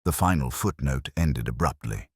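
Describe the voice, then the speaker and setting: A man playing a brooding, cerebral older detective inspector, narrating a mystery in a measured, grave, literary manner for a radio drama. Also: rumbling low, animated, husky, no echo, studio quality, exaggerated voice. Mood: brooding, reflective.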